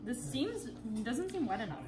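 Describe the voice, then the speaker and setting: A woman's voice: high voice, sing-song, moderate pace, far from the mic. A young American woman chatting casually with friends in a home kitchen. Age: young adult. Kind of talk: casual chat. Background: loud music.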